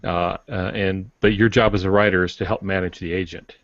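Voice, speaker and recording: Lower-pitched older man, dry sound. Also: steady tone, brisk, no echo. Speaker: podcast co-host, writer and teacher. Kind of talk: casual conversational advice. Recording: dull, dry lo-fi audio.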